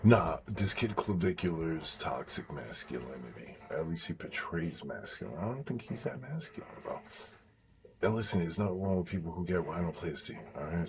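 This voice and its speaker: Very deep-voiced man with a sore throat. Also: background noise. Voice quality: baritone. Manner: blunt and profane, drops to a whisper at times. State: sore throat after vocal cord surgery, voice strained. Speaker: streamer. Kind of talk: casual live-stream chat.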